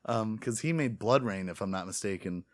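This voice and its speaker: A man's voice: lowish voice, moderate pace, dry recording, studio quality. A man hosting a casual podcast discussion, talking in a rambling, opinionated way. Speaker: podcast host leading the discussion. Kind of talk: casual chat.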